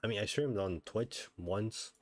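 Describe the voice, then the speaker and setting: A man's voice: fairly low pitch, a little slow, dry recording. A man chatting casually on a gaming livestream, rambling with wry asides. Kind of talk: casual gaming stream chat. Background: game music.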